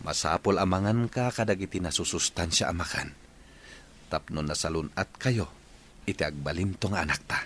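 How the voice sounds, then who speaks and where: A man playing a caring, earnest young husband in a radio drama, speaking gently and solicitously with an Ilocano accent. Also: sits low, moderate pace, a little hiss or hum. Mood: tender, attentive.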